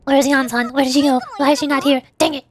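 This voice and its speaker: A woman's voice: sits high, flat delivery, fast talker, noisy background. A woman streaming a game, chatting casually and playfully with her viewers. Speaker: VTuber streamer. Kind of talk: casual live-stream gameplay chat. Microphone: desk microphone.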